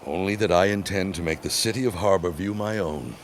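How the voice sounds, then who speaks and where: Very deep, suave gentleman suitor played by an actor. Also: fairly quick, lightly acted. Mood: ardent. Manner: courtly, grandiose formal diction. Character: suave ambitious gentleman suitor of 1916, ardent. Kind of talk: period audio drama acting. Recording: clear background ambience noise.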